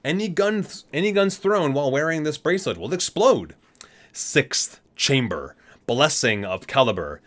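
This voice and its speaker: A man's voice: a little high, sing-song, normal speed, fairly bright tone. Expressively lilting man reading aloud for ASMR. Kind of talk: ASMR read-aloud of game item descriptions.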